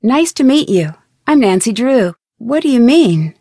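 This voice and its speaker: Young female detective, American, video game dialogue, earnest, curious, fairly high voice, dry studio sound. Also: big pitch swings, unhurried, warm tone, no echo, exaggerated voice. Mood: curious, friendly. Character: curious, polite, plucky young woman amateur detective. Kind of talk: video game dialogue, scripted single lines.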